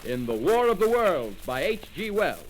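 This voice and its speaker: A man's voice: high-pitched, sing-song, normal speed, faint music behind, rough recording. A man reading formal network announcements and a news bulletin in a 1930s radio drama. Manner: formal, clipped bulletin delivery.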